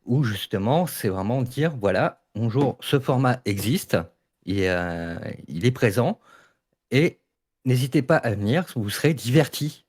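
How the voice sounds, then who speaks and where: A man's voice: medium pitch, lively intonation, a little slow, a little mellow, breathy edge. A man talking informally in a debate, explaining his views in an emphatic, colloquial way. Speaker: audio fiction maker in a panel debate. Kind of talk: informal debate, casual discussion.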